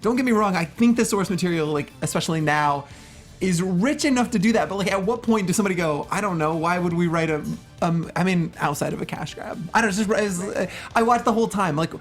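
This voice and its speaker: A woman's voice: lowish voice, fast, soft background music. A woman co-hosting a casual film discussion, opinionated and incredulous, emphatic, leaning on filler words and rhetorical questions. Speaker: talk show co-host.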